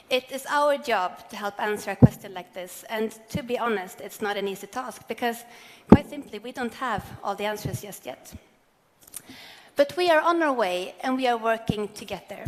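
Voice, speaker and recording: A woman, giving a measured, earnest prepared keynote speech on stage. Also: a little high. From Sweden. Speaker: corporate sustainability speaker. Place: large event hall on stage. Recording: long hall reverb.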